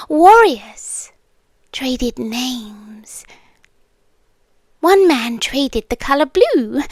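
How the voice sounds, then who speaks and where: A British woman playing a possessive, lonely immortal monster in an intimate ASMR roleplay, speaking deliberately and teasingly and often slipping into whispers. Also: sits high, no echo, heavily acted. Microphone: close microphone, dry.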